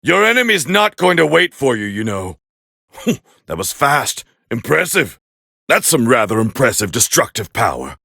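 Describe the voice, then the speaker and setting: A man voicing a proud, scornful alien warrior as a fighting game commentator, gravelly and haughty in an English anime dub style. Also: medium pitch, big pitch swings, normal speed, no echo, studio quality, full character voice. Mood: smug, appraising. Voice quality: gravelly, raspy. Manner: haughty, forceful, clipped exclamations.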